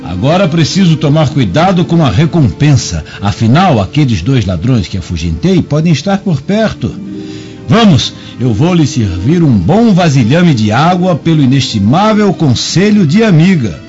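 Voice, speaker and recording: An actor playing a compassionate, humble traveller, gentle and wistful, in a theatrical radio drama. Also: male voice, a little high, big pitch swings, moderate pace. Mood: warm, wry. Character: kindly, compassionate humble traveller chatting to his mule. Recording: dull lo-fi radio drama, music behind.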